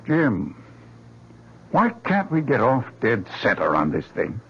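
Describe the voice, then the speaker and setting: A navy admiral in a vintage radio play, terse and wearily in command. His husky male voice moves freely up and down in pitch, on a dull old recording. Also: wildly swooping pitch, slow pace, heavily acted. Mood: impatient. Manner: terse, weary authority. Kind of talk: 1970s radio drama acting.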